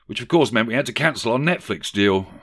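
A man's voice: medium pitch, animated, fairly quick, background noise, voice pushed up, natural voice. A man voicing an aggrieved young prince in a satirical storybook, emphatic and complaining. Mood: aggrieved, sorrowful. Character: aggrieved young prince recounting his family's downfall. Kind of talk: satirical audiobook character reading.

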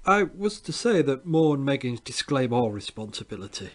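A man voicing an uneasy company messenger who stammers and speaks quietly. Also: mid-range voice, big pitch swings, normal speed, voice pushed up. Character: ill-at-ease, stammering male company visitor.

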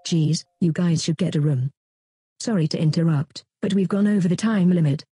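Mellow, dry-humoured female producer played by a woman. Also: lowish voice, moderate pace, husky. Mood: teasing. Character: bossy, teasing female show producer.

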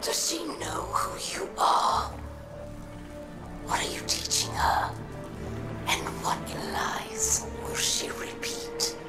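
A woman playing a bitter, proud alien warlord in a video game, speaking in a growling, breathy whisper with deliberate, threatening delivery. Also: natural voice. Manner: slow, deliberate, theatrical and threatening. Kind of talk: scripted video game dialogue. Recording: echoing voice under loud music. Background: loud music.

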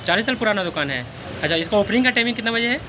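A chatty man films a street food vlog and keeps questioning the vendors in a friendly, inviting way. His voice sits a little high, and loud traffic noise fills the background. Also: expressive, rapid-fire. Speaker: food vlogger. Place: busy street outside a sweet shop.

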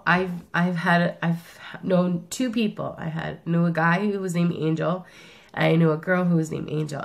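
Sick lady vlogging at her webcam, italian first language, a little low and croaky, chatty. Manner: chatty, rambling, self-correcting. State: sick, rough voice. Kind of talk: casual tag-video chat. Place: small room indoors.

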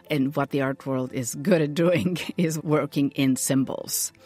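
A woman from Bulgaria, interviewed as an advocate against censorship, speaking in a measured and earnest way. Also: sits low. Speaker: free speech advocate, interviewee. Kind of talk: thoughtful interview answers.

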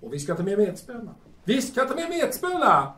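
An actor in an audio drama playing a boastful, cantankerous young absinthe-drinking poet with halting, repetitive, bombastic delivery. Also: male voice, sits high, huge pitch swings, fairly bright tone, exaggerated voice. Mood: eager. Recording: roomy, distant mic, faint noise.

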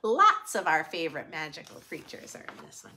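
A woman in her thirties hosting a children's read-aloud, giving gentle, expressive asides in a room at home. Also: low voice, brisk. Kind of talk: picture book read-aloud with friendly asides to young children. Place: small furnished room at home, indoors.

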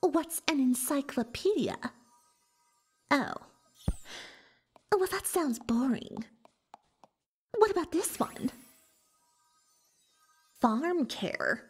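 Slow, high-pitched boastful unicorn pony played by a woman. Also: expressive, faint music behind, heavily acted. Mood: scheming, haughty. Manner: pompous, third-person bragging, hesitant when cornered. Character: boastful, defensive female unicorn pony magician turned librarian. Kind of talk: ASMR roleplay monologue.

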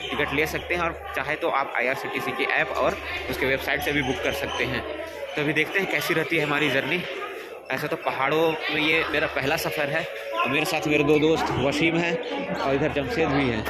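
Man vlogging over loud station noise. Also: fairly high pitch. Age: young adult. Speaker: travel vlogger. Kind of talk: on-location vlog talk to camera. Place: outdoors on railway platforms beside trains. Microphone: phone or camera at arm's length. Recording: loud railway station and train noise, crowd.

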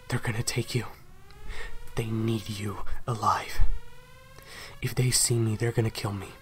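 A low male voice over clear background noise , a man acting it out. He is an intense, protective assassin weighed down by guilt in an audio roleplay. He speaks haltingly, repeats himself and often sinks into urgent whispers. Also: a bit bright. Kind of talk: audio roleplay drama addressed to the listener.

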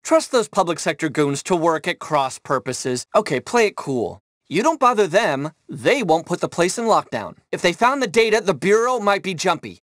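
An actor playing a pompous, paranoid and self-important tech billionaire with a grandiose way of talking in a video game. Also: male voice, high-pitched, sing-song, moderate pace, hardly any pauses, a bit bright, no room sound, clean studio sound. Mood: brisk, condescending.

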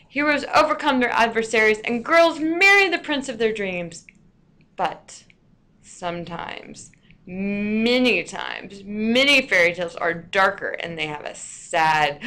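A woman narrating a scripted lesson as a teacher, with deliberate, storyteller-like emphasis. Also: mid-range voice, bright, forceful tone.

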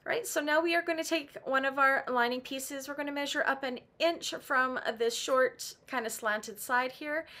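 A middle-aged woman with a raspy voice from laryngitis, patiently walking through a sewing tutorial step by step. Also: high-pitched, bright, forceful tone. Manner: patient, practical, with some "um" and restarts. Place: home sewing room.

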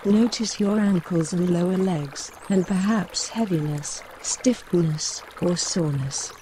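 A woman guiding a mindfulness body scan, giving gentle, measured instructions with pauses between phrases. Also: on the low side, a little hiss or hum, low-quality recording. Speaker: meditation guide. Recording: running stream water behind voice. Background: trickling woodland stream.

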